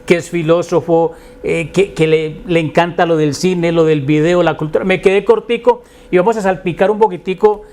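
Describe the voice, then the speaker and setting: A Colombian man with a distinctly high voice hosts an interview show. He speaks conversationally, in a courteous and wordy way, piling up phrases effusively as he addresses his guest. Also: steady tone, moderate pace, few pauses, a little mellow.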